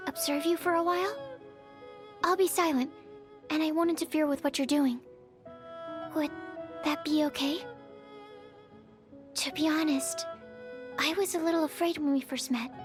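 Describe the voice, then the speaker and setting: A woman's voice: high-pitched, steady tone, measured pace, long pauses, over music. A woman voicing a shy, bookish young female alchemist in a video game, speaking in a hesitant, polite and earnest way. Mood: hesitant, curious. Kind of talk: English video game character voice acting.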